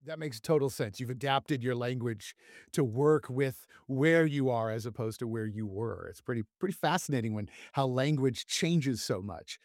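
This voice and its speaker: A man's voice: medium pitch, moderate pace, a little mellow, dry recording, studio quality. A man hosting an interview, asking the guests questions in a casual, inquisitive way. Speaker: host.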